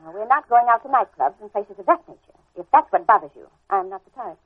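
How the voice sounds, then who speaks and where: A woman playing a prim, imperious middle-aged New England schoolteacher with an American accent, brisk and unflappable, in old-time radio drama style. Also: mid-range voice, animated, breathy edge. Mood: prim, businesslike. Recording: tinny, band-limited vintage radio recording.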